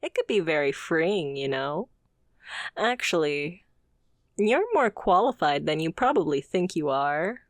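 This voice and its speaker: A woman's voice: low voice, sing-song, a little slow, dry recording, studio quality. A woman playing a confident, haughty supervillain boss in a scripted ASMR roleplay, speaking with teasing, condescending poise. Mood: teasing, intrigued. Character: confident female supervillain boss, haughty yet playfully amused.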